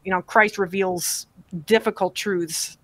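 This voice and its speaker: A woman in her forties, an author guest on a talk show, speaking in a thoughtful, measured way with frequent fillers, from a home study on a desk mic. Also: medium pitch, steady tone. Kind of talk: interview on radio show. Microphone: desk podcast mic on boom, video call.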